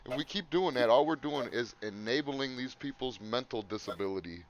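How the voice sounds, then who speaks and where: A loud man co-hosting a podcast, rambling and swearing in casual chat. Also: mid-range voice, expressive, moderate pace. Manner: rambling, swears often, laughs. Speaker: podcast co-host. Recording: dull lo-fi sound.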